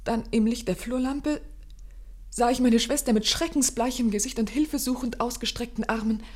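An actress playing an anxious, grieving young gentlewoman who tells her story formally and intensely in a German radio play. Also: a little high, steady tone, moderate pace, natural voice. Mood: haunted, tense. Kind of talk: German radio play acting, dramatic first-person narration.

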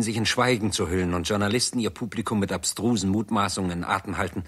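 Narrator: low, husky, grave, measured, formal vintage radio drama delivery. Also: male voice, a bit bright. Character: grave male narrator of a science fiction chronicle. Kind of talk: scripted narration, 1960s German radio play.

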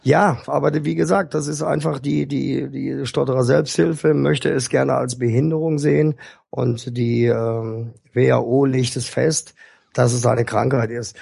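Gravelly, husky male speech therapist. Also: mid-range voice, no room sound, clean studio sound. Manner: calm, instructive, measured with fillers. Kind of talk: explanatory interview talk.

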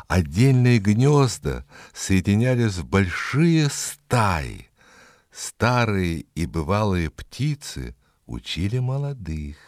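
A man narrating a fairy tale expressively, in a measured way with dramatic pauses. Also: deep voice, a little mellow, dry recording, studio quality. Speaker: storyteller. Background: music.

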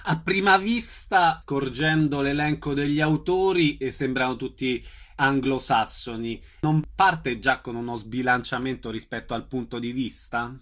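A man speaks at an average pitch as an interviewer. His questions are measured and formally worded. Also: a bit bright.

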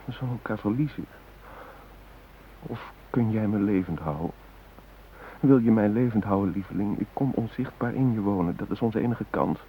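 Male actor as condemned hostage, low voice, intimate, anguished, pleading, radio drama monologue, hissy narrow-band old recording. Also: moderate pace, natural voice. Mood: afraid, pleading. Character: doomed male hostage voicing a last letter to his beloved.

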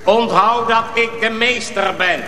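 Commanding sorcerer master, echoing hall. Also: male voice, high voice, fairly even intonation, over music. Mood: stern, menacing. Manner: commanding, slow, menacing. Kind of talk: radio drama acting. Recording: echoey hall with long reverb.

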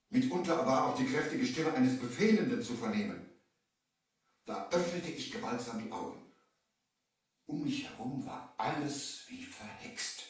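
Ein Mann spielt einen feierlichen, schiffbrüchigen Erzähler im hallenden Hörspiel. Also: male voice, medium pitch, lightly acted. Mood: eerie suspense. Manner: solemn, theatrical, deliberate diction. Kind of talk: dramatic storytelling in a 1970s German radio play. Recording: roomy, echoing 1970 vinyl radio play, distant.

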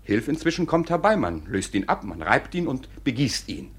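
An actor playing a sly, mockingly polite court clerk in a 1950s German radio play, with a teasing, declamatory delivery. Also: male voice, medium pitch, sing-song, normal speed, husky. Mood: dramatic reporting. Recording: vintage 1950s radio recording.

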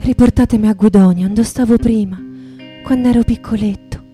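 A woman's voice: on the high side, steady tone, a little fast, dark tone, natural voice. A woman playing a suffering young male detainee who pleads to his mother with a Roman accent, in radio theatre. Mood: pleading, suffering. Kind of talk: radio theatre monologue over guitar. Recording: guitar music behind the voice.

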